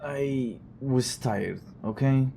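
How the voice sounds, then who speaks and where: A man's voice: medium pitch, very slow, vocal fry, mellow, a little hiss or hum. An actor playing a weary, wry male investigator who records a conversational log in an audio drama, with a dry, sardonic, rambling manner. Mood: frustrated.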